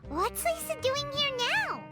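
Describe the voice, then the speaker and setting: A woman voicing a tiny, excitable, greedy childlike fairy companion in a video game, with a squeaky voice. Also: a little slow, full character voice. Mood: surprised. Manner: refers to self in third person, exclaiming. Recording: music playing behind the voice.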